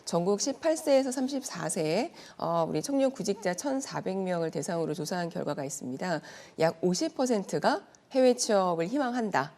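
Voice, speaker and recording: A woman's voice: lowish voice, lively intonation, brisk, few pauses, darkish tone. A woman speaking as an expert guest in a news segment, explaining things politely and point by point in a prepared, organized way.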